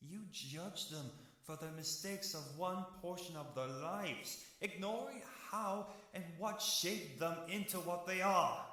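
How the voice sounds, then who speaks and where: A man performs a grave male archangel in an audio drama, delivering solemn reproach. The voice is forceful and very deliberate, ringing out in a heavily echoing space. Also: sits high, exaggerated voice. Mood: stern reproach. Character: stern, grave male archangel passing sentence. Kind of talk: scripted audio drama acting. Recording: heavily echoing, cavernous sound.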